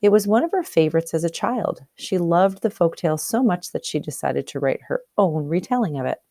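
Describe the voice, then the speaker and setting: Animated woman teacher reading a story aloud. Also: on the low side, brisk, dry recording, studio quality. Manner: explanatory, questioning, checks understanding. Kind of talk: expressive storybook read-aloud with teaching questions.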